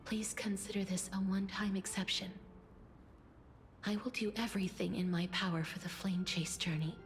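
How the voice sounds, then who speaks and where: A woman voicing a gentle young demigod of death in a video game, softly and breathily, with a measured, solemn tenderness. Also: medium pitch, steady tone, natural voice. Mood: solemn, resolute. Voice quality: soft, breathy, whispery. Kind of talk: dramatic video game voice acting. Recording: music under the voice.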